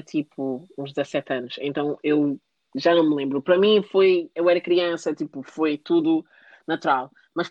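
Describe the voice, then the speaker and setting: A woman's voice: sits low, a little mellow, no echo, highs cut off. A young adult woman in casual conversation, rambling and full of fillers, often restarting her sentences. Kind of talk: casual chat.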